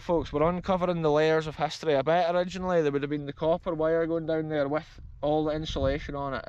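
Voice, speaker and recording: A man's voice: fairly high pitch, lo-fi sound, slight background noise. A Scottish man in his thirties, exploring an open field and talking eagerly to viewers while filming himself on an action camera. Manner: enthusiastic, addresses viewers as folks. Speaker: explorer vlogger. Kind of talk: walking tour commentary. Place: open grassy field outdoors. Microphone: action camera held close.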